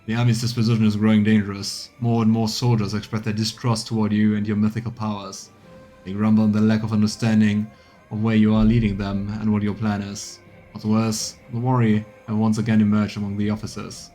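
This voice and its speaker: A man's voice: on the low side, completely flat delivery, a little fast, dark tone, soft background music, voice pushed down, close to an everyday voice. A man voicing a stern, duty-bound military captain in a fantasy game, reporting in a formal, grave manner. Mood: grave concern.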